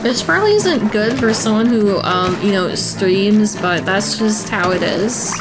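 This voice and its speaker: A young adult woman streaming a game, chatting casually in a hoarse, tired voice, rambling with many fillers and frequent throat clearing. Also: mid-range voice, lively intonation, unhurried, rough recording. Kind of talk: casual chat while gaming. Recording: loud game music over voice. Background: video game music.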